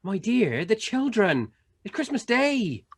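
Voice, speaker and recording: A man playing a gentle, devoted poor father in an amateur radio play, tender and earnest. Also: sits high, big pitch swings, slow, heavily acted. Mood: gently pleading.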